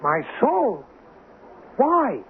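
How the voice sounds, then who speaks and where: An actor playing a greedy, bewildered, whining simpleton in a radio drama. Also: male voice, very high voice, huge pitch swings, extremely slow, full character voice. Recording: tinny, noisy old radio recording.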